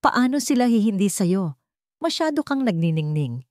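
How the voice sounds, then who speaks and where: A woman's voice: medium pitch, sing-song, moderate pace, a little mellow, no echo, studio quality, natural voice. A woman voicing a devoted, adoring billionaire husband in an audio romance story, tender and emotional.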